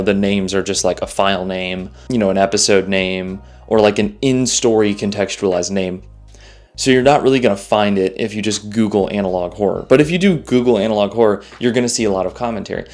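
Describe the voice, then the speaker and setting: A man giving informal, opinionated video commentary in a conversational way, repeating phrases to press his points. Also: on the low side. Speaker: YouTuber, analog horror commentator.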